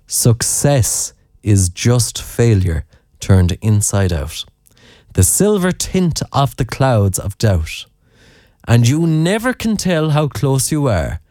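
A man's voice: mid-range voice, a little mellow, dry recording, clean studio sound. An Irish man presenting a radio programme, chatting in a rambling way with fillers and self-corrections, and reading out a listener's poem. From Ireland. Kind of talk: late-night radio chat and poem reading.

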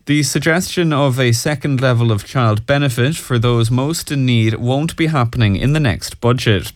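A man reads the morning news on local radio in a measured, formal voice. His pitch sits right in the middle for a man. The studio sound is close and dry. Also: no echo. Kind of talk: news bulletin reading and phone interview. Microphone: studio microphone.

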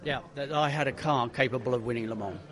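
A man, a racing driver telling stories in a casual interview, animated and full of restarts. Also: mid-range voice, fast talker. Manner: animated, repeats himself, many restarts.

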